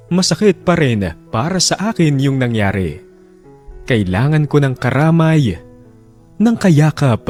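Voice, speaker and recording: A man's voice: medium pitch, darkish tone. A man with a Filipino accent narrating a personal letter dramatically, as a radio storyteller.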